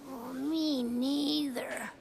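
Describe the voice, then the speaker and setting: A woman's voice: high voice, fairly even intonation, very slow, vocal fry, a little mellow, slight background noise, exaggerated voice. A woman playing a glum, fretful, naive little girl in a children's cartoon. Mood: queasy whining. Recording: music behind the voice.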